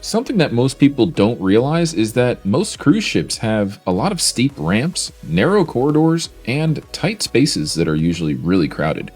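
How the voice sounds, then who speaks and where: A man's voice: medium pitch, slightly rough. A man, presenting a scripted product video in an upbeat, salesy way. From Florida, USA. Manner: upbeat, salesy, lively intonation. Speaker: mobility scooter company presenter.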